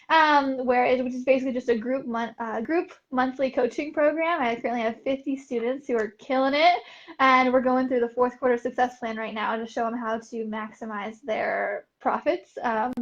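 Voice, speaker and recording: A young woman artist, a guest in a casual interview, talking upbeat with frequent fillers and laughs. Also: a little high. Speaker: artist and art business coach, guest. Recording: dull lo-fi audio.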